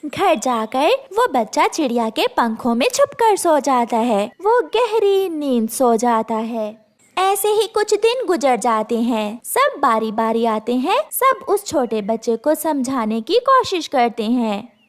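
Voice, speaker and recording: A woman's voice: sits high, very animated, slow. A woman narrating a children's cartoon story as the storyteller.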